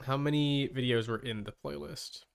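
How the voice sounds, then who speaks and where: Smooth-voiced man chatting on stream. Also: medium pitch, steady tone, normal speed. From Canada. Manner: rambling, thinking aloud. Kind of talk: casual livestream chat.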